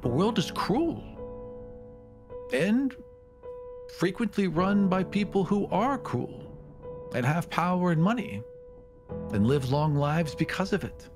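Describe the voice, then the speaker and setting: A man playing a proud, scheming mortal petitioner in a tabletop fantasy game, with a theatrical, halting delivery. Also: sits high, animated, music in the background. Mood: sad, earnest. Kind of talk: tabletop roleplay acting.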